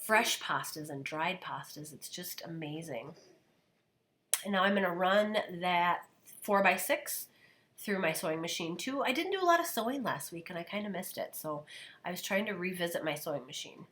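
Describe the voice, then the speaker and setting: A woman's voice: lowish voice, normal speed. A woman who is losing her voice to a cold, chatting casually as she narrates her scrapbooking steps. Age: adult, parent of a daughter. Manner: chatty, relaxed, describing steps as she goes. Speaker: scrapbooking video host. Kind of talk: casual craft voice-over narration.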